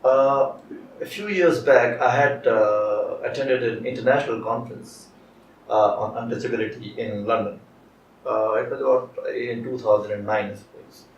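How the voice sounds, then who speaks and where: A man with a mid-range voice interviews a guest, pausing often as he frames his questions. He is recorded from a distance in a reverberant room. Faint background noise runs underneath. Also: mid-range voice, dull audio. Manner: halting questions with many fillers. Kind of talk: conversational interview. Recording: echoey room, mic at a distance.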